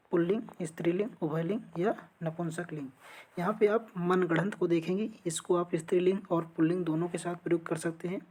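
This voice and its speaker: A woman's voice: fairly low pitch, mellow, slight background noise, low-quality recording. A woman teaching an exam-prep lesson, reading out quiz questions and answering them in an instructional way. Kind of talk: lesson going through multiple-choice quiz questions.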